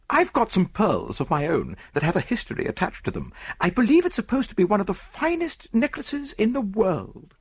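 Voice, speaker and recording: Guy putting on a rich gushy society lady, high swooping voice, storybook mystery. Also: gravelly, slightly rough, full character voice. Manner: effusive, gushing.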